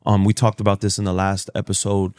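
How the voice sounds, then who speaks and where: A man's voice: fairly low pitch, monotone, quick pace, darkish tone. A man from Miami hosting a podcast, chatty and hyped, who fills his speech with slang like "bro" and "you know what I mean". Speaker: podcast host.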